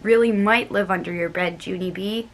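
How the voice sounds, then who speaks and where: A woman voicing a quiet, serious little girl who talks earnestly to her worried friend in a storybook reading. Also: medium pitch, fairly even intonation, moderate pace, pitched below the performer's own voice, lightly acted.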